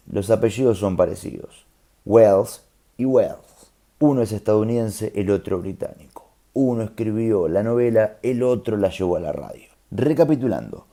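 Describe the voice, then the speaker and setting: Argentine man narrating in a very dark, mellow voice. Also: on the low side. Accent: Rioplatense Argentine. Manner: conversational, explanatory. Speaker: storyteller host. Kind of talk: scripted narration with colloquial asides.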